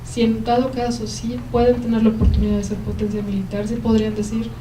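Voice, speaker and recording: A woman's voice: fairly high pitch, little pitch movement. Mexican woman lecturing in a roomy hall. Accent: Mexican Spanish. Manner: explanatory, pauses often with eh and em. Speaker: guest lecturer. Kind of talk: university lecture answering audience questions. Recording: roomy hall, mic at a distance, faint noise.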